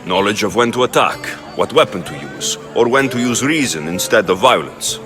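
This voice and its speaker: An actor playing a blunt, self-assured Greek mercenary hero in a video game, speaking tersely. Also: male voice, fairly low pitch, lively intonation, normal speed, music in the background, lightly acted. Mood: guarded. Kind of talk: video game cutscene acting.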